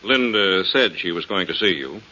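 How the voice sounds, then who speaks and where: A man's voice: deep voice, animated, normal speed, bright, forceful tone, full character voice. An actor playing a courteous, assured male physician with a formal, firm manner in a 1940s radio drama. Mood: polite, firm. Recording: hissy muffled 1940s radio recording.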